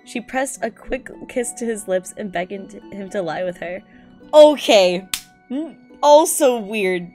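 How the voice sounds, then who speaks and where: A woman's voice: mid-range voice, animated, measured pace, warm tone, soft background music. A woman streamer in a giggly, slangy, incredulous style, reading aloud and reacting casually.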